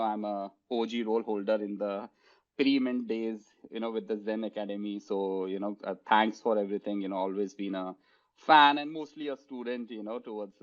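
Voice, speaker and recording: An Indian man hosts an interview in a fairly low voice. He speaks with eager restarts and keeps saying "you know". The recording sounds dull and lo-fi. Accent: Indian English. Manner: eager, halting, many "you know" fillers. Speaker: host.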